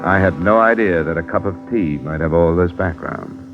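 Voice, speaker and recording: A man's voice: low-pitched, normal speed. An actor playing a hard-boiled insurance investigator who narrates his cases in a dry, matter-of-fact way, in a 1950s radio drama. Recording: muffled old radio broadcast with music.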